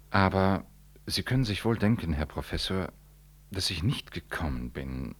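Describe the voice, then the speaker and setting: Solemn, very deep-voiced priest. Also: male voice, exaggerated voice. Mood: earnest, emphatic. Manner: formal, measured, emphatic declamation. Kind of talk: 1960s German radio drama acting.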